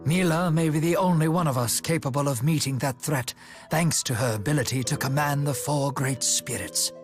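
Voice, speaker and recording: An actor playing a courteous older gentleman strategist in a video game, speaking in a formal, measured, polite way. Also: on the high side, natural voice. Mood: composed, formal. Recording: loud music behind the voice. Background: loud background music.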